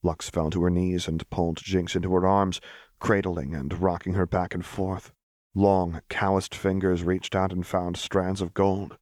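A man's voice: low voice, a little mellow, dry recording, clean studio sound. A man reading a dramatic story aloud as scripted audiobook narration. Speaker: audiobook reader.

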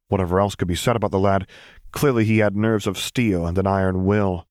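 A man's voice: deep voice, steady tone, fairly quick, a little mellow, dry recording, studio quality. A man reading scripted fiction as an audiobook narrator.

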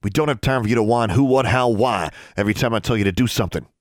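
A commanding teenage wizard boy, voiced by a man narrating an audiobook. He speaks tersely and keeps things low-key, brusque on the surface with care underneath. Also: expressive, normal speed, no echo, studio quality. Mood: snapping, commanding. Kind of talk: audiobook character acting.

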